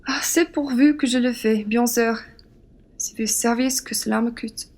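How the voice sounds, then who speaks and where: Woman playing defiant young Frenchwoman, high-pitched, blunt, proud, indignant, period radio play. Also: measured pace, darkish tone. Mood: reluctant.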